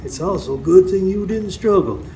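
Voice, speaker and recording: A man playing a stern old conjure man, high-pitched. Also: lively intonation, normal speed, mellow, poor audio. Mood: grave, instructive. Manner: commanding, terse, instructive. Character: wise, stern old folk conjure man with silver dreadlocks. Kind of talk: live radio drama acting. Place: stage before a live studio audience. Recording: live stage recording with background noise.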